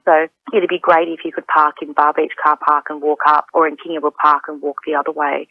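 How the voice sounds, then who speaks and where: A woman's voice: low voice, no room sound. Woman speaking over a tinny phone line. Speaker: lord mayor. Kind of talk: spoken statement to media.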